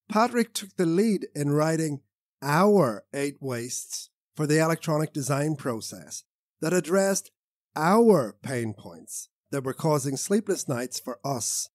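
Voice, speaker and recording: Male author-narrator for nonfiction audiobook. Animated, swinging pitch, recorded close and dry. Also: no echo. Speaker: author reading own audiobook.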